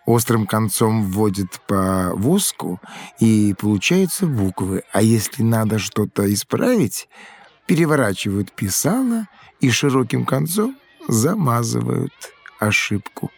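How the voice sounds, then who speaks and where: A man's voice: fairly low pitch, big pitch swings, measured pace, vocal fry, darkish tone, breathy edge, heavily acted. A folksy schoolmaster from olden times in an educational audio play. He explains his craft expressively, in the manner of a village storyteller. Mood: instructive. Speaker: teacher. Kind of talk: educational audio drama acting.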